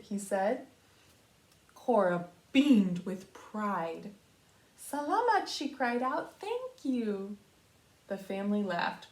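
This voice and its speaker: A woman reading a children's storybook aloud like a kindergarten teacher, expressive and pausing to talk to young listeners. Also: medium pitch. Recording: roomy echo.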